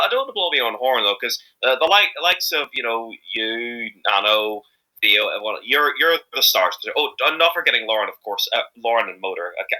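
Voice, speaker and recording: A man's voice: mid-range voice, pushed and bright, low-quality recording. A man chatting casually as an interviewed amateur voice actor, rambling and hesitant, often correcting himself as he goes.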